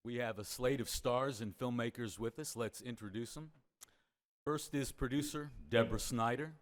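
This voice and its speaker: A man moderating a press conference, announcing each guest by name and putting questions to the panel. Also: medium pitch. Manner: announcing names in measured, emphatic beats. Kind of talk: formal introductions and questions.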